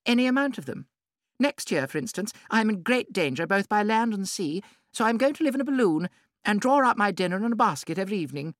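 A man voicing a vivacious, theatrical middle-aged society hostess in a high, bright voice, in an audiobook reading. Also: animated, fast, no echo, clean studio sound. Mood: airy.

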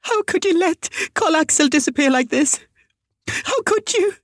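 惊慌失措、声音尖高的母亲. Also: female voice, very high voice, expressive, measured pace, no room sound, studio quality, voice pushed up. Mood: sobbing, accusing. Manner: crying out, pleading, distraught. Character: frantic hysterical mother of a kidnapped toddler.

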